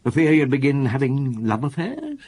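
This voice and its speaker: An actor playing a weary, probing middle-aged police chief inspector in a radio drama, deliberate and authoritative, with a husky voice. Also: male voice, mid-range voice, huge pitch swings, darkish tone. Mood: probing, scornful. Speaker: lead actor and narrator in a radio detective drama.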